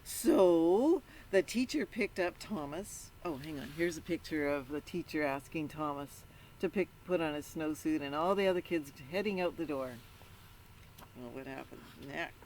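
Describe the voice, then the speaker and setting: A woman reading a picture book aloud to children in an animated storytelling style, pausing to put questions to the listeners. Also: deep voice, slight background noise, rough recording. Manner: interactive, prompts listeners with questions. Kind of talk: animated read-aloud with asides to young listeners.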